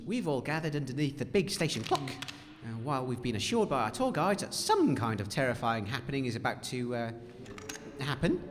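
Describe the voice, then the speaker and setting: A man playing a polite, hesitant roving radio presenter in a comedy audio drama, speaking with animated awkwardness. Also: medium pitch, fairly quick, in a large hall, slight background noise. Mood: awkwardly polite.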